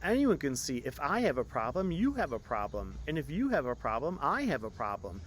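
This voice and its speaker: A man's voice: mid-range voice, big pitch swings, fairly quick, a little hiss or hum, pitched above the performer's own voice, lightly acted. A man voicing a storybook prince, a pompous royal given to commands and anxious pleas. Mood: desperate. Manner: commanding, then worried and pleading. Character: pompous prince turning frightened, pleading man. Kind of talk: storybook character reading.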